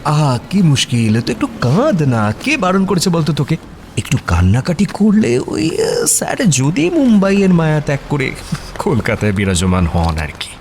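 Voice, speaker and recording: A playful young man in an audio drama, teasing and mock-dramatic. His delivery swings widely in pitch, with faint ambient noise behind it. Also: huge pitch swings, normal speed, darkish tone. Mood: cheeky teasing. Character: young theatre-mad male best friend, playful, cheeky teaser. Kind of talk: audio story dialogue in casual Bengali.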